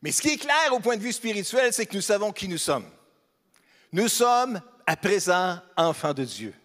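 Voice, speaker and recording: A man's voice: sits high, big pitch swings, normal speed, a bit bright. A man with a Québécois accent preaching a sermon in a church, conversational and humorous, asking the congregation questions. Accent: Québécois French. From Quebec, Beauce region. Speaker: pastor. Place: church hall. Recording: long hall reverb.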